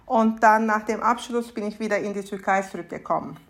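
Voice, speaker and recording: A woman in her mid-thirties speaks with a Turkish accent as the guest in an interview. Her pitch is average for a woman. She talks conversationally, with giggles slipped in between phrases. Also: fairly quick. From Istanbul, Turkey. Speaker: podcast guest, SAP consultant.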